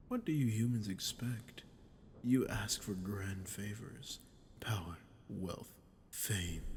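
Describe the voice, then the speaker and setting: An ancient, menacing male demon, played by a man in an ASMR roleplay, speaks low and very slowly. His voice is gravelly and breathy, slipping toward whispers as he taunts the listener with theatrical condescension. A faint noise sits behind him. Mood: scornful. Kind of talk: scripted ASMR roleplay monologue.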